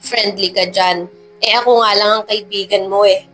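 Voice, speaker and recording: A woman voicing a deadpan, cool-headed saleslady around thirty, dry and blunt, in a narrated love story. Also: medium pitch, normal speed, soft background music, lightly acted. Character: deadpan, cool-headed thirty-year-old saleslady, former security agent.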